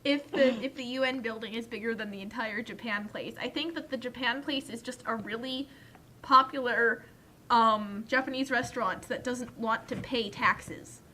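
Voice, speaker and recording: A woman's voice: fairly high pitch, normal speed, bright, forceful tone, a little hiss or hum, low-quality recording. A young woman chatting casually as a co-commentator, telling rambling anecdotes in a wry, exaggerating way. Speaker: guest co-commentator.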